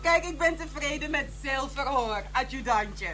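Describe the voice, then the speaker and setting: A saucy, bantering woman with a very high, bright voice. She fends off flirts in radio drama dialogue with faint hum behind her. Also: animated, moderate pace. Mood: teasing. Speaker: actress in a radio crime drama.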